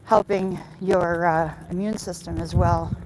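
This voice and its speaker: Casting: American woman, casual livestream host, slow rambling delivery, recorded outdoors with clear background noise. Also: fairly low pitch, steady tone, a little mellow, low-quality recording. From Michigan, United States. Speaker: livestream host chatting with viewers. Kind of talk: casual livestream chat while walking.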